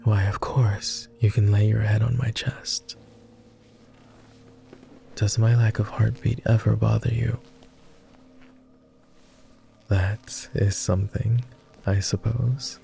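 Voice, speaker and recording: A man voicing a gentle, devoted vampire, speaking softly and formally in an intimate roleplay ASMR style. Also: on the low side, flat delivery, slow, soft background music, exaggerated voice. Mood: tender, caring. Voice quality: soft, gravelly. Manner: posh, formal, tender. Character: gentle, teasing male vampire, devoted and wistful.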